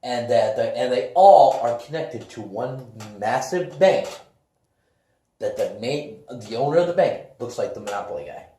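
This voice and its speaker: A man co-hosting a podcast in casual chat, building up his points slowly and emphatically. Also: mid-range voice, expressive, dark tone. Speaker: podcast co-host. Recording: echoey room with faint noise and clicks.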